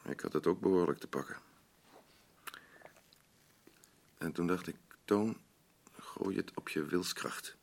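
An actor playing a bitter, defensive, grieving middle-aged husband in a naturalistic radio play, blunt and grumbling, with a gravelly voice. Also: male voice, low voice, normal speed. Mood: confessional. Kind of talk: naturalistic radio drama acting.

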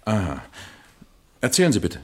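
An actor playing a businesslike police captain in a 1960s German radio crime drama, methodical and official as if dictating a report. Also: male voice, lowish voice, wildly swooping pitch, normal speed, husky, heavily acted. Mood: brisk, polite. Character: businesslike male police captain heading an accident investigation.

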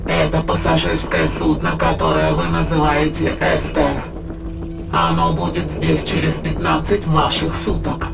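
An actor playing a cold, detached alien entity that inhabits a human body, speaking in measured, formal phrases with a gravelly voice in an audio play. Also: male voice, fairly high pitch, big pitch swings, exaggerated voice. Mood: cold, assured. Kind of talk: dramatic audio play acting. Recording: voice under prominent music.

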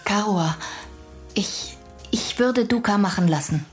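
An actress playing an alert police colleague in a radio crime drama, matter-of-fact, precise and inquisitive. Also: female voice, medium pitch, a little slow, soft background music, natural voice. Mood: inquiring.

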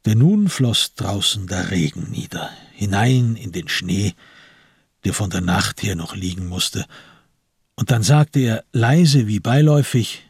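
A man with a very husky, breathy, lowish voice narrates a literary crime story for radio. The delivery is scripted storytelling, and the sound is close and dry. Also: animated, a little slow, no room sound.

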